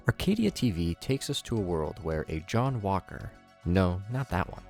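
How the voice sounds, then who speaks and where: Low-voiced, animated male commentary host. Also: gravelly. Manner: expressive, conversational presenter delivery. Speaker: YouTube host, horror series reviewer. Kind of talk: scripted commentary narration.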